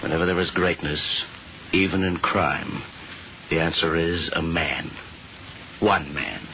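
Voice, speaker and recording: A man's voice: deep voice, fairly even intonation, measured pace, highs cut off, slight background noise. A man playing a brusque, imperious private detective in old-time radio drama style, terse and cryptic, on an old recording. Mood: brooding, certain. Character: brusque, arrogant, obsessive male private detective.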